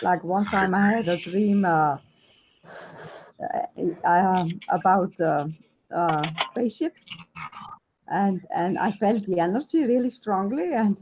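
A woman from Austria with an Austrian German accent, introducing herself hesitantly in a class, with many restarts. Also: mid-range voice. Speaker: class participant. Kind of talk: casual spoken introduction. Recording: muffled lo-fi call line with faint noise.

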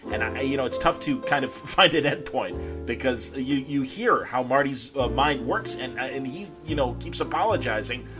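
A man's voice: medium pitch, moderate pace, few pauses, music in the background. A man hosting a podcast, talking casually and off the cuff in a hesitant, rambling way peppered with uhs. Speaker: podcast host. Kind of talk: casual chat, unscripted sign-off to listeners.

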